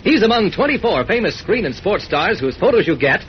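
A man's voice: sits high, sing-song, fairly quick. A man reading an upbeat, scripted 1940s radio commercial. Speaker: commercial announcer. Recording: muffled, slightly noisy old radio recording.

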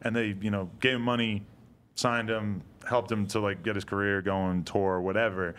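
A man's voice: lowish voice, level tone, normal speed, a bit bright. A man casually co-hosting a podcast, loose and slangy with lots of fillers. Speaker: podcast co-host. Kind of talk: casual chat.

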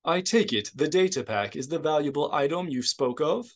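A man's voice: on the high side, lively intonation, moderate pace, pitched above the performer's own voice. Stern male security colonel for an audiobook, guarded and stiff, used to command acted by a male reader. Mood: studiously neutral.

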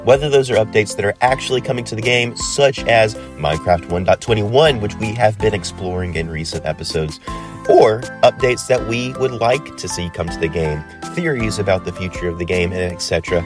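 A man's voice: lowish voice, lively intonation, brisk, over music. A man hosting a solo podcast in a casual, rambling way with many ums and uhs, sounding stuffy from a cold. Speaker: podcast host.

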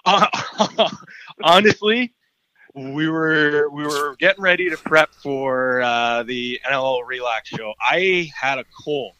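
A man with a raspy voice, answering interview questions in an easygoing way as a guest. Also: on the high side, slow pace, bright, forceful tone, rough recording. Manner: easygoing, laughs often. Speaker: guest columnist.

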